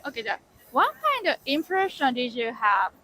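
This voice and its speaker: High-voiced chatty female interviewer. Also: big pitch swings, fast talker, bright, forceful tone, rough recording. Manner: chatty, laughs often, mixes in Japanese words. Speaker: street interviewer. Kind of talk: casual street interview. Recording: faint street noise.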